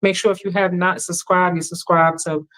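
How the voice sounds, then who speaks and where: A woman hosting a casual sports podcast live from a remote call, her voice going a bit hoarse, laughing easily and quick to agree. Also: fairly low pitch, level tone, fairly bright tone. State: voice getting hoarse. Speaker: podcast host. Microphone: remote live stream.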